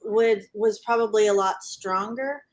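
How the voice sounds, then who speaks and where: A woman in her thirties speaking as a guest in a casual panel discussion, halting and rephrasing as she goes. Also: medium pitch.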